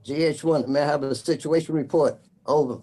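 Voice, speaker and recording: A man practicing formal emergency radio procedure, announcing scripted messages in a deliberate way as a trainee net controller. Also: a little high, dark tone. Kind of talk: scripted radio procedure drill with call signs.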